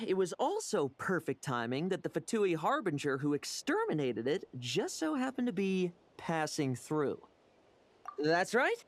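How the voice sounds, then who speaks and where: An actor playing a breezy, irreverent young knight in a video game, with a playful, sardonic manner. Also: male voice, fairly high pitch.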